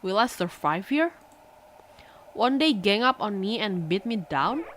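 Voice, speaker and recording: A young woman with an Indonesian accent, reading a visual novel aloud on stream and breaking off into animated reactions in English and Indonesian. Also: mid-range voice, measured pace, a little hiss or hum. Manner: animated, switches between English and Indonesian, breaks into excited outbursts. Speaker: virtual streamer.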